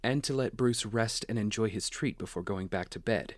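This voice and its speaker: A man playing a kindly, good-humored, gentlemanly father in an audio drama. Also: on the low side, normal speed, dry recording, studio quality, lightly acted. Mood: amused, fond.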